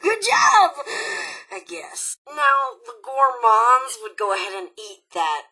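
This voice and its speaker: A woman's voice: fairly high pitch, slow, exaggerated voice, low-quality recording. A woman voicing a crazy, murderous female raider robot in a video game, sassy, bossy and impatient, with sarcastic, slangy delivery. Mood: flippant, disgusted. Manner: sarcastic, slangy, theatrically expressive. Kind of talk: video game character dialogue.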